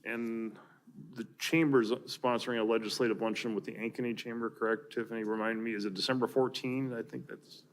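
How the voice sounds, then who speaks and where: An American man giving an informal meeting report in a halting way, with frequent fillers. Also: mid-range voice, flat delivery, rough recording. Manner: halting, filler-heavy delivery. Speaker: mayor giving board updates at a council meeting. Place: large council chamber, indoors. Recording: clear background room noise from meeting chamber.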